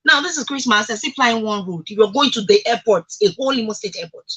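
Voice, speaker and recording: A woman's voice: fairly high pitch, lively intonation, fast, bright, forceful tone, breathy edge. A woman with a Nigerian accent hosting a radio discussion, speaking emphatically and putting rhetorical questions to listeners. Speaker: radio talk show host.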